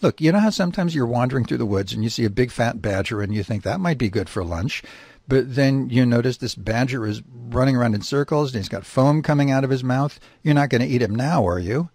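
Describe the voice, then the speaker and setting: A man's voice: mid-range voice, lively intonation, a little fast, darkish tone, dry recording. A man voicing a squeamish male grizzly bear in a comic story, earnestly and indignantly explaining himself.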